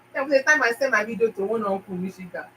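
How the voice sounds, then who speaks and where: A Nigerian-accented woman talks straight to her viewers in a fast, emphatic way, often repeating herself. Her voice sits a little high. She sounds set back from the mic, with faint noise behind her. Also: sharp tone, far from the mic, low-quality recording. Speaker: YouTube channel host. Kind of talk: casual motivational talk to viewers.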